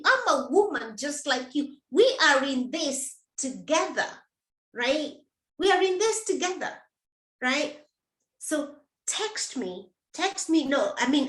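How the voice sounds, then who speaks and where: A middle-aged woman with a high voice speaks slowly and deliberately as a coach, encouraging her listeners and repeating key phrases. Her voice rings out in an echoey room. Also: fairly bright tone. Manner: encouraging, repetitive, direct address to listener. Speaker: menopause coach and host. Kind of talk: live motivational talk to viewers.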